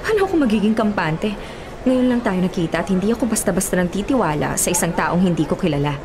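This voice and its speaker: A woman's voice: medium pitch, sing-song, fast, background noise, natural voice. An actress playing a bitter, guarded young woman in a Filipino radio drama, haughty, curt and exclamatory.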